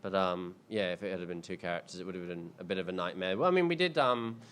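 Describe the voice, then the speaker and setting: A man's voice: low voice, fast talker, a bit bright, slight background noise. A man in his thirties, a filmmaker answering audience questions in a casual, rambling way, full of ums and restarts. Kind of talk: casual panel Q&A. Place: indoor screening event.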